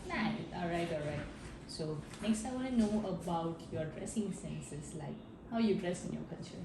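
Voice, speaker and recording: A woman's voice: on the low side, normal speed. Chatty woman interviewer, distant and echoey. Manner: chatty, frequent fillers and short acknowledgements. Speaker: student interviewer. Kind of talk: casual interview for a class assignment. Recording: echoey room, from a distance, noisy.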